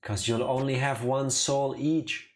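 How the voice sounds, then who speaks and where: A man's voice: mid-range voice, fairly even intonation, unhurried, very noisy. A man giving casual commentary as he streams a video game. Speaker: let's play streamer.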